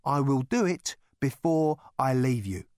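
A man's voice: a little high, very animated, moderate pace, no echo, studio quality, pitched above the performer's own voice. A man reading an audiobook, voicing a grim space conspirator with an emphatic, ominous way of talking. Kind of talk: audiobook character voice.

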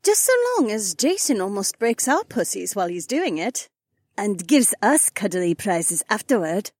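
A woman voicing cheeky, teasing young women in audiobook dialogue, with a breathy voice. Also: medium pitch, very animated, moderate pace, darkish tone, no room sound, studio quality.

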